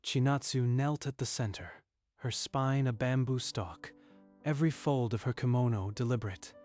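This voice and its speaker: A man reading scripted story narration in a measured, literary storytelling manner. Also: medium pitch, a little mellow, faint music behind.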